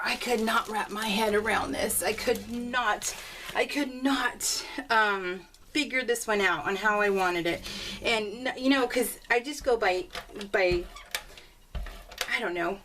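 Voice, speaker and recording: A woman vlogger chatting casually and ramblingly as she crafts, telling everyday stories in an informal, giggly, animated way. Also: fairly high pitch, recorded from a distance, slight background noise. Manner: giggly, animated storytelling with exclamations. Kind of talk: casual rambling chat while crafting, voiced in real time.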